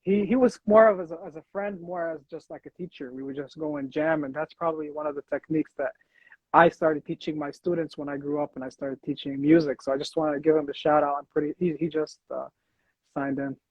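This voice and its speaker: Man, young adult, from the US, interview host, husky, rambling, dull dry recording. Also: fairly high pitch, steady tone, a little fast, no echo. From United States. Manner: rambling, restarts often. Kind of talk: casual interview chat. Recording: dull lo-fi sound.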